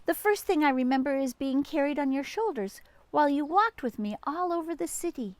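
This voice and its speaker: Woman reading as an eager, high-voiced little boy. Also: lively intonation, a little fast, dry recording. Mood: wondering. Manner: eager, wondering, tender. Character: loving, curious, earnest young boy. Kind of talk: picture-book read-aloud.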